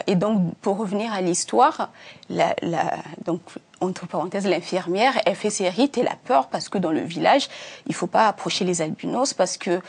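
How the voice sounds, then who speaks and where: A woman from Africa, an author being interviewed, answering earnestly with many pauses and restarts. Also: fairly low pitch, gravelly, a little mellow, husky. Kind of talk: hesitant conversational interview answers.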